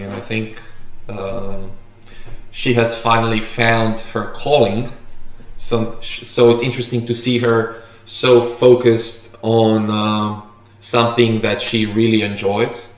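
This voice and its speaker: A man's voice: fairly low pitch, little pitch movement, slow. A man in his thirties, a native Macedonian speaker, chatting casually as host of a home coffee livestream, thinking aloud with many fillers and restarts. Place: room in a home. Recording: echoey room.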